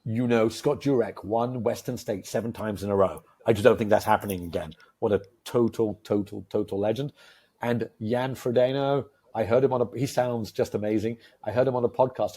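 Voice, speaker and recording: A man in his fifties co-hosting a podcast, talking casually and with enthusiasm, telling stories full of fillers and sudden bursts of excitement. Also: fairly low pitch, brisk, husky. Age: 50s. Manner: enthusiastic, animated storyteller with many fillers. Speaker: podcast co-host.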